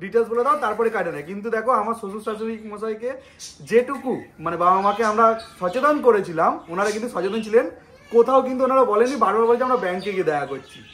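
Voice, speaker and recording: A man's voice: sits high, quick pace, a bit bright. A young man, talking casually as a vlogger. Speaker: vlogger, prankster son-in-law. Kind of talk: casual vlog chat.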